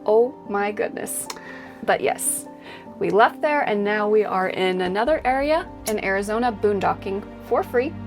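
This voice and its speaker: A woman's voice: mid-range voice, animated, normal speed, faint music behind. A woman co-hosting a travel vlog, chatting casually and agreeably about life on the road. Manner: chatty, agreeable, many yeahs. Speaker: vlog co-host. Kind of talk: casual chat to camera.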